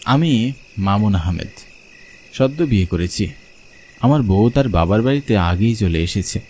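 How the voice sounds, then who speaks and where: A man's voice: fairly low pitch, animated, measured pace, darkish tone, a little hiss or hum. A man narrating a scripted horror story in the first person, as a storyteller.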